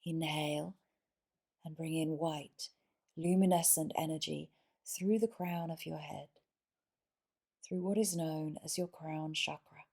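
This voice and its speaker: A woman leading a guided yoga nidra meditation in a soothing, measured way, pausing between short phrases. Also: low voice, steady tone, gravelly, darkish tone.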